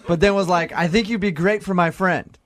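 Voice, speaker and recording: Fast-talking male radio host. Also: high-pitched, fairly even intonation, a loud crowd. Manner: teasing, jokey. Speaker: radio morning show host. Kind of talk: casual radio banter.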